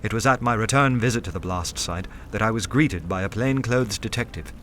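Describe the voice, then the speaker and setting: An actor playing a wry, cultured artist turned detective in a radio play, articulate and dryly theatrical. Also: male voice, lowish voice, fairly quick, fairly bright tone, lightly acted. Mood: sombre. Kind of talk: dramatised first-person narration and dialogue. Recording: music or ambient noise behind.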